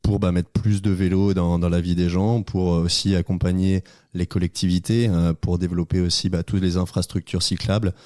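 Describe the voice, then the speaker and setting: A man's voice: low-pitched, level tone, brisk, dark tone, no room sound, clean studio sound. A man, a radio guest, explaining at length with many fillers. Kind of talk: radio interview.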